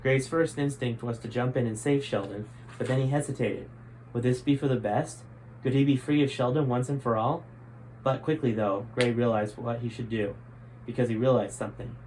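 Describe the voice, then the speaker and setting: A man's voice: mid-range voice, fairly even intonation, moderate pace, darkish tone, slight background noise, poor audio. A man reading his own book aloud as scripted story narration.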